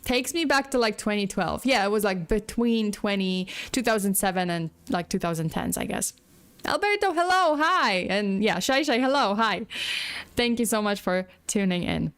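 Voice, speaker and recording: A woman's voice: mid-range voice, animated, normal speed, a bit bright, smooth. A woman hosting a casual livestream, chatting and rambling, greeting viewers by name as her voice starts to go. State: losing her voice. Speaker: livestream host.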